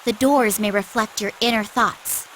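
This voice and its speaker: A woman voicing an earnest, girlish android who explains things gently, in an English anime game dub. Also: mid-range voice, steady tone, unhurried, slight background noise, close to an everyday voice, poor audio. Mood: explaining.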